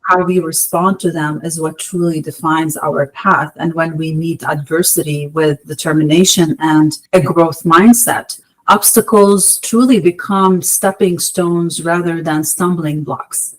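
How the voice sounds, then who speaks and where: A woman from Lebanon giving measured, articulate, motivational answers as an interview guest, with emphatic phrasing. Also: low-pitched, steady tone, poor audio. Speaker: podcast guest, entrepreneur.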